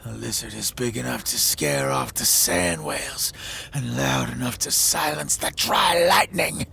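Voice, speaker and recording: An actor playing a bitter one-legged monster hunter who boasts loudly and drops into hoarse whispers. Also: male voice, mid-range voice, measured pace, slight background noise, heavily acted. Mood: haunted warning. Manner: blustering, shouting boasts then dropping to hoarse whispers. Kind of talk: audio drama acting.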